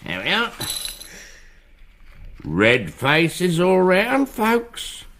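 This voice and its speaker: A man's voice: sits high, huge pitch swings, extremely slow, a bit bright, full character voice. Sardonic male lodger, hugely swinging intonation, British accent, mocking, radio play, noisy background. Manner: flippant, mocking. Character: cheeky, sardonic male lodger who smokes. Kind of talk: British radio drama acting.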